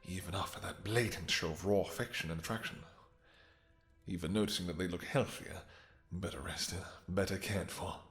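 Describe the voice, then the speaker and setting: Man playing a deep, gravelly, possessive vampire king. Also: steady tone, normal speed, a bit bright, soft background music. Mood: haughty, menacing. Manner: formal archaic speech, intimate and theatrical. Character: doting, possessive male vampire king, regal and brooding. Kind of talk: romantic fantasy audio roleplay.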